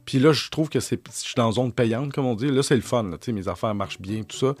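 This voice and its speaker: A man in his forties with a Québécois French accent, a journalist answering interview questions, talking fast with many restarts and repeated words. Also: medium pitch, dry recording. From Quebec. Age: 40s. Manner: lively storyteller. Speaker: journalist guest. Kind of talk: candid conversational interview answers.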